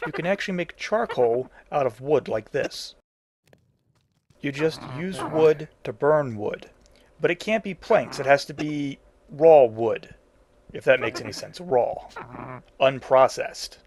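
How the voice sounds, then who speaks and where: A man's voice: a little high, lively intonation, measured pace, a little mellow. A man giving casual, instructional commentary as the host of a video game tutorial.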